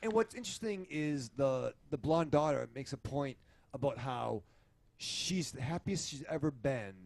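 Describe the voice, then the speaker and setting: A man's voice: medium pitch, expressive, normal speed, vocal fry, no room sound. A man co-hosting a casual panel podcast, talking about a film in a rambling, crude and profane way. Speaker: podcast co-host reviewing a film.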